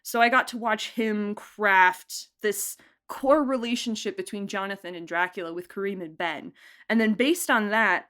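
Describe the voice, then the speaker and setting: A woman being interviewed as an audio drama director, talking casually and enthusiastically like an animated storyteller. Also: mid-range voice. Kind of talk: casual behind-the-scenes chat.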